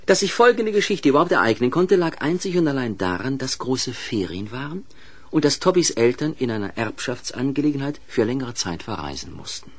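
A man narrating a children's audio play in an expressive, scripted storytelling style with pointed pauses. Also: mid-range voice, slightly rough.

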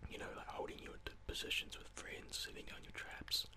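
A young man giving rambling, casual, self-deprecating ASMR gameplay commentary in a breathy whisper, close to the microphone. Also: a little fast. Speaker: gaming video host. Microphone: close microphone, voice-over on gameplay.

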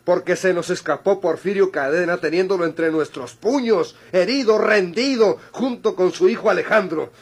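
An actor playing a stern, accusing Mexican police chief in an old radio drama, with a husky voice. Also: male voice, high voice, moderate pace, hardly any pauses. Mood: accusing, indignant. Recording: vintage radio drama recording.

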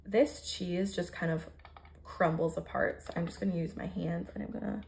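An American woman in her thirties with a creaky voice, chattily narrating her cooking step by step in a casual, informal way. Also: lowish voice, fairly even intonation, normal speed, a little mellow, slight background noise. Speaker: home cook vlogger. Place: home kitchen, indoors.

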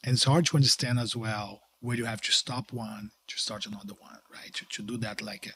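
Brazilian-accented podcast host, husky voice. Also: male voice, on the low side, steady tone, brisk, a bit bright, no echo. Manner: emphatic, non-native grammar, frequent "right?" tags. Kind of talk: interview host.

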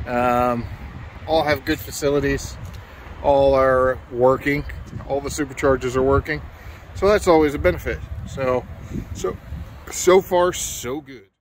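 A man's voice: medium pitch, slight background noise, rough recording. A middle-aged man vlogging casually about a road trip, easygoing and rambling with fillers, filmed on a phone at arm's length in a car and outdoors. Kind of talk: casual vlog narration. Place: inside a car and outdoors at charging stations. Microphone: phone camera at arm's length.

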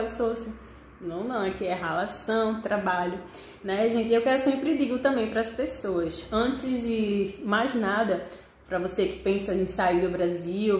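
A woman's voice: medium pitch, slight background noise. A young woman with a Northeastern Brazilian accent chatting casually and expressively to her viewers, speaking straight to the camera. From Pernambuco, Northeast Brazil. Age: young adult. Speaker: vlogger. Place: small living room with desk, indoors. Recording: echoey room.

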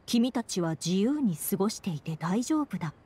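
A woman's voice: mid-range voice, big pitch swings, moderate pace, warm tone, slightly rough. A woman voicing a dutiful young female knight commander in an anime game, formal, composed and polite. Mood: composed, concerned. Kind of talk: Japanese anime game voice acting.

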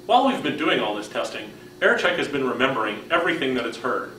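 A man's voice: mid-range voice, sing-song, fast, sharp tone, reverberant, slight background noise, low-quality recording. A man in his fifties with an American accent gives a scripted product demonstration in a friendly, instructional way. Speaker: product presenter.

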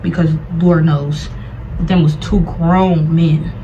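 An American woman chatting casually to viewers, telling a personal story in a slangy, animated way with acted-out asides. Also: low-pitched, takes it slowly, lo-fi sound, a little hiss or hum. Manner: slangy, animated retelling with acted-out asides and repeated check-ins.

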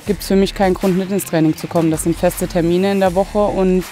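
A woman's voice: fairly low pitch, fairly even intonation, fairly quick, dark tone. A woman in her thirties speaks firmly and plainly about her team. She is interviewed outdoors on a sports field at night, with some music playing behind her. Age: 30s. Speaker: amateur football lineman. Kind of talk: casual interview answers.

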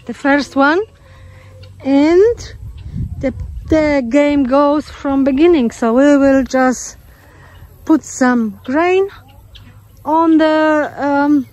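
A woman's voice: high-pitched, a little mellow, low-quality recording. A woman casually narrating a walking tour of her homestead outdoors, speaking unhurriedly with fillers and a turn of phrase. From Australia. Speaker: homesteader giving a farm tour. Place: outdoors in a rural garden with poultry. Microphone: phone camera held while walking.

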